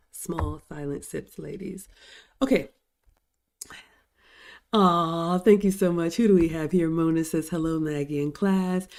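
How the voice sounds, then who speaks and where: A low-voiced, middle-aged woman with a slightly raspy edge, still getting over a cold. She hosts a casual livestream, chatting away and answering viewer comments in a warm, mellow tone. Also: takes it slowly, long pauses. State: recovering from a cold. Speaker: livestream host and etiquette teacher.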